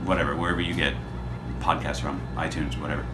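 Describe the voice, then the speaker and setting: Low voiced guy host explaining his show, casual rambly self-deprecating, music under it. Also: level tone, fast. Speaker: podcast creator and host.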